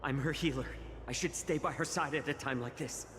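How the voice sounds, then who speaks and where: A man's voice: medium pitch, steady tone, a little fast, natural voice. An actor playing an anxious, devoted male healer in a video game, with long reverb around the voice. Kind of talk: dramatic video game voice acting. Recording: long cavernous reverb, music.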